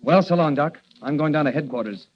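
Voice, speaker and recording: An actor playing an earnest young rookie cop and secret crime fighter, brisk and confident, in old radio drama style. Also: male voice, on the high side, close to an everyday voice. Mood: intrigued, urgent. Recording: dull, noisy old radio recording.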